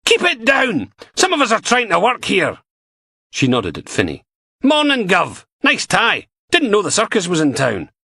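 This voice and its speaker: A man's voice: high voice, wildly swooping pitch, moderate pace, creaky, breathy edge, no room sound, studio quality, voice pushed up, exaggerated voice. A Scottish man voicing a brash, cheeky female detective inspector. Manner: loud, brash.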